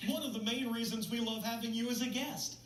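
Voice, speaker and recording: A man's voice: very high voice, steady tone, brisk, a bit bright, faint music behind. Male TV host, casual and upbeat interviewer, playful banter, echoey room, off-mic. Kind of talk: casual broadcast interview chat. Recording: roomy, distant mic, faint noise.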